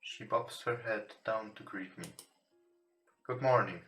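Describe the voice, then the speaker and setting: A man who is not a native English speaker, reading visual novel text aloud for a let's play, somewhat haltingly. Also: on the low side, fairly bright tone. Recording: roomy echo with music behind.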